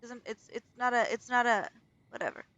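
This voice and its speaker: A woman's voice: on the high side, brisk, a bit bright, lo-fi sound. A young woman giving chatty, rambling, playful commentary as she plays a game. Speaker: gaming streamer. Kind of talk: casual let's play commentary. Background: game music.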